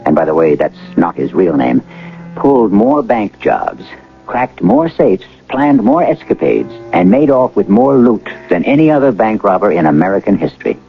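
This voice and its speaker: Low, animated male radio host. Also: normal speed, creaky, faint music behind. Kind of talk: dramatic scripted radio introduction. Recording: muffled old radio broadcast.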